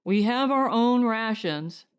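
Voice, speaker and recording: A woman's voice: a little high, animated, takes it slowly, clean tone. A woman voicing a stubborn settler, a family man, in a firm, terse manner for an audiobook story. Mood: grim, firm.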